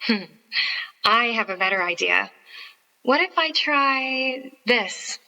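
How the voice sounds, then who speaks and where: Actress as a high, sweet young starlet. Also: female voice, expressive, slow pace, vocal fry, pushed and bright, exaggerated voice, rough recording. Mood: coy, grateful. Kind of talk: radio play acting.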